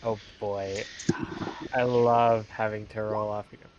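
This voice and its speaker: A man's voice: lowish voice, flat delivery, measured pace, dull audio, background noise. A man chatting casually as a player in a tabletop game. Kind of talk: casual chat.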